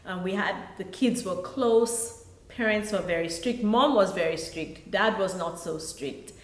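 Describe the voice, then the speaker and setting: امرأة من نيجيريا تروي قصتها بهدوء في غرفة ذات صدى. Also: female voice, mid-range voice, measured pace. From Nigeria. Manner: relaxed, reflective storytelling with soft laughs. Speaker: author and pharmacist. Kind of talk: interview guest. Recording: echoey room.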